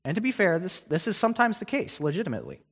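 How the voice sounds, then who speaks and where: A man's voice: high voice, huge pitch swings, racing, gravelly. A young man giving a guest sermon in a conversational way, with many ums and restarts. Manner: conversational preaching with frequent fillers and restarts. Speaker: guest preacher. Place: church sanctuary. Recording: long hall reverb.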